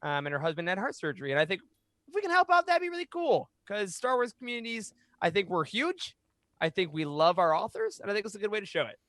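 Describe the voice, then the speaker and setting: A man's voice: high-pitched, big pitch swings, quick pace, fairly bright tone, no echo. A man in his thirties hosting a podcast in an upbeat, chatty way, close on a microphone in a small home studio. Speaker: podcast host. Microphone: boom-arm microphone up close.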